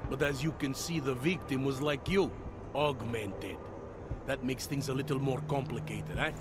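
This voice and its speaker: An actor playing a world-weary veteran city police detective in a game cutscene, wry and half-joking, over loud music. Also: male voice, mid-range voice, normal speed, natural voice. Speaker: game character.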